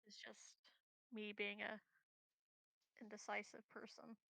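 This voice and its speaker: A woman's voice: mid-range voice, level tone, unhurried, gravelly, bright, forceful tone, no room sound, low-quality recording. A woman chatting casually and hesitantly as a player in a tabletop game. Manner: hesitant, tentative. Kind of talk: casual chat.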